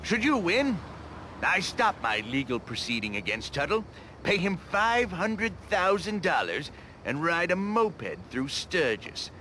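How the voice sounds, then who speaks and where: A man's voice: high-pitched, very animated, unhurried, pushed and bright, a little hiss or hum, exaggerated voice. A man playing a pompous, theatrical, scheming rich businessman villain in a video game cutscene, with grandiose, mannered phrasing. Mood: smug, scheming.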